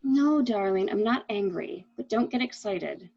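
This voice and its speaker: A woman's voice: mid-range voice, animated, a little slow, dark tone. Casting: actress as anxious, genteel, protective married lady for mystery drama, swooping delivery, muffled sound. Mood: soothing, firm. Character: anxious, protective, genteel married woman hushing her companion. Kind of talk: dramatic reading of murder mystery. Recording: dull, muffled lo-fi audio.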